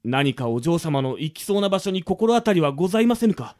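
An actor playing a stern, worried butler in a radio drama, speaking in stiff, archaic, very polite phrases with music behind him. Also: male voice, fairly high pitch, very animated, brisk, a little mellow. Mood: grave, worried. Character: formal, stern, worried elderly male butler. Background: background music.

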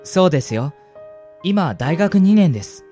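A man's voice: on the high side, big pitch swings, measured pace, warm tone, faint music behind. A man acting a polite, shy and smitten young college student in a radio drama, speaking and narrating earnestly. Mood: friendly.

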